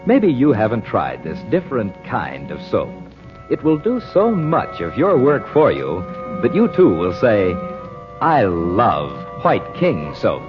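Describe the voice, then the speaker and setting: A man's voice: on the low side, huge pitch swings, measured pace. Vintage radio announcer, male, formal dramatic scripted read, music underneath, muffled old recording. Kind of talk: old radio serial narration and advertising.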